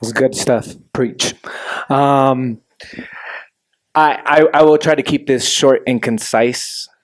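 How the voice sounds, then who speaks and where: A man's voice: medium pitch, slow pace. Chatty young man from Chile. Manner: animated, self-deprecating, with many fillers. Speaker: panelist sharing his story. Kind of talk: casual storytelling.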